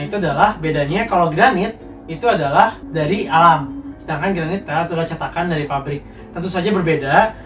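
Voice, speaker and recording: A man's voice: fairly high pitch, fairly bright tone. A young man with a congested, nasal voice from a cold, casually answering viewers' questions about building materials as a contractor. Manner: slangy, explanatory, reads out viewer questions. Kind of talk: casual Q&A monologue. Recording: roomy, mic at a distance, faint music.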